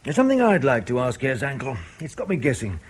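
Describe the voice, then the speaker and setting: An actor playing a blunt, sceptical intelligence interrogator in a radio drama, with a husky voice and a dry, insistent manner. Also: male voice, medium pitch, very animated, quick pace. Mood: dry, businesslike.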